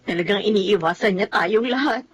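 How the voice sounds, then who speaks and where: A woman's voice: medium pitch, normal speed, slightly rough, close to an everyday voice. An actress playing a worried older woman in a radio drama, speaking in a stern, fretful way.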